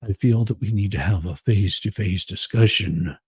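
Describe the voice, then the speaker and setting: A man playing a stiff, earnest, non-committal man in a dramatic reading, husky and low-toned, with a measured, solemn delivery. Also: a little mellow, dry recording. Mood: firm, solemn.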